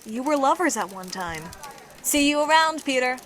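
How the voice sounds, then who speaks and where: A woman acting a friendly young woman in a comic dub, playful and gentle as she teases and consoles. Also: sits high, lively intonation, normal speed. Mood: comforting.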